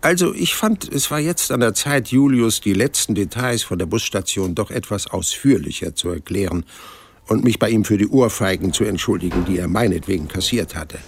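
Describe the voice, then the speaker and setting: A man's voice: fairly low pitch, moderate pace, creaky, slightly rough, no echo. An actor playing an unflappable, sly hundred-year-old man in an audio drama, telling his story in a dry, matter-of-fact, colloquial way. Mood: wry, matter-of-fact. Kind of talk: audio drama first-person narration and dialogue. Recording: radio drama production with music.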